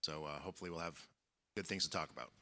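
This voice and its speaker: A man in his fifties speaking as a panelist at a conference, measured and reflective, with many fillers. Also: extremely low pitch, steady tone, bright, forceful tone. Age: 50s. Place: conference hall.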